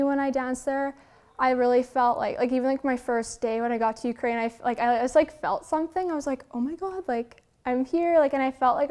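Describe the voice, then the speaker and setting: A woman's voice: high-pitched, warm tone. A young woman, a dancer, talking in an interview in a gushing way, full of "like" fillers and restarts. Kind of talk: interview answer.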